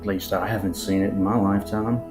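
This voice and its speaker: A man giving casual, unscripted commentary in a musing, halting way, often posing rhetorical questions. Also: fairly low pitch, steady tone. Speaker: video narrator. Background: new-age music.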